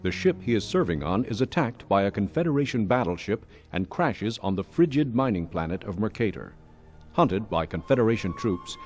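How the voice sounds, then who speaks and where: A man reading scripted narration as the narrator of a radio drama. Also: fairly low pitch. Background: music.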